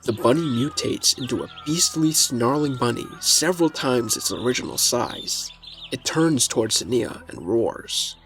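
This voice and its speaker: A man's voice: mid-range voice, lively intonation, measured pace, a bit bright. Male story narrator over faint music.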